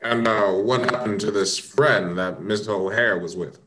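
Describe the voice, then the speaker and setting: A man playing a composed, probing American police inspector in an amateur radio mystery, questioning politely in measured phrases. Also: lowish voice, background noise, close to an everyday voice.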